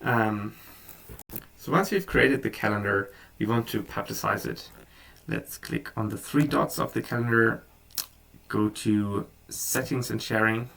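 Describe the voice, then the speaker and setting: A man whose first language is German, talking casually through a software tutorial, relaxed, with frequent ums and restarts. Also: on the low side, creaky, distant mic. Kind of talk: casual screen-recorded tutorial.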